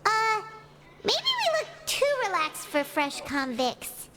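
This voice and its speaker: Squeaky little fairy sidekick from an anime game, super high, flustered, bouncy pitch all over. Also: female voice, wildly swooping pitch, slow, exaggerated voice. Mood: puzzled. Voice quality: squeaky, childlike. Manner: exclamatory, talks of self in third person. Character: small childlike female fairy companion, excitable, easily flustered. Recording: game music behind.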